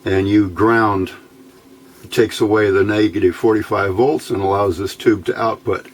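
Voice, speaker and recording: A man giving an unscripted hands-on technical tutorial in a matter-of-fact, halting, step-by-step way. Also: lowish voice, fairly even intonation. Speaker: host of an electronics build tutorial. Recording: clear background noise, room ambience.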